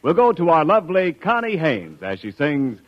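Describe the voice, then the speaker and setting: Animated, high-pitched male vintage radio announcer. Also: normal speed. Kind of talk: 1940s radio announcing and sponsor copy. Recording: dull 1940s radio recording.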